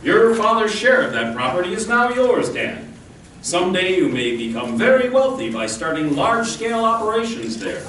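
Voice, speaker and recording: An earnest, fatherly western hero acted by a man speaks in a slightly high voice. It is old-time radio storytelling, distant and echoey. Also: sing-song, moderate pace, a bit bright. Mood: earnest, solemn. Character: earnest, fatherly masked cowboy hero recounting his past. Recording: distant echoey live stage recording, faint noise.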